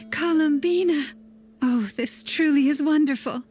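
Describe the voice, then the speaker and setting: A woman playing an earnest, adoring young woman in an anime game, speaking with gracious formality. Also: high-pitched. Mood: delighted. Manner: formal, expressive.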